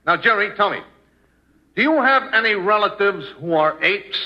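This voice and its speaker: Brash comic schemer, eager, very animated delivery, muffled 1950s radio comedy. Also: male voice, sits high, moderate pace, background noise. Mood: brash, confident. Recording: muffled vintage radio broadcast.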